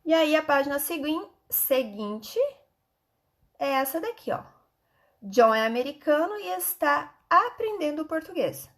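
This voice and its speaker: A Brazilian woman with a fairly high-pitched voice speaks as a schoolteacher in an online lesson. She walks children through textbook exercises in an instructive manner, often pausing to check that they follow. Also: lively intonation. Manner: instructive, frequent check-in questions.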